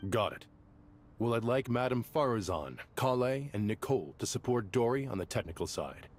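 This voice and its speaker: A man's voice: medium pitch, animated, moderate pace, slight background noise, lightly acted. A man voicing a composed, courteous official with an air of authority in a video game. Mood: composed, organizing.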